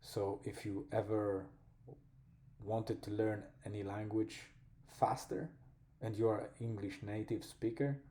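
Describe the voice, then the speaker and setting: A man with a Polish accent talks through a personal vlog in a low, very dark and mellow voice. He speaks slowly and thoughtfully, often stopping to search for the right word. The delivery stays calm and reflective throughout. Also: vocal fry. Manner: slow, thoughtful, pausing to find words. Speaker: vlogger.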